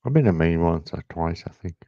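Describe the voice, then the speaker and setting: A man's voice: deep voice, expressive, fairly quick, mellow, dry recording. An older man with an American accent, talking casually as a caller in a chat. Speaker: caller, truck driver. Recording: dull lo-fi call audio.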